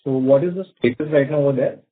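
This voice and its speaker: An Indian-accented male analyst with a medium-pitched voice comes through an unclear, narrow phone line. He puts formal questions to management in a polite, deferential way. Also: fast talker, breathy edge. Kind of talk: formal questions on an earnings call.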